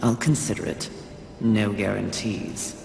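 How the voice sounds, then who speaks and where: A woman's voice: a bass voice, fairly even intonation, measured pace, gravelly, exaggerated voice. An actress playing a proud, defiant warrior horsewoman in a video game, curt, stern and commanding. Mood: guarded, curt. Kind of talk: video game cutscene acting. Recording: echoey long reverb, music behind.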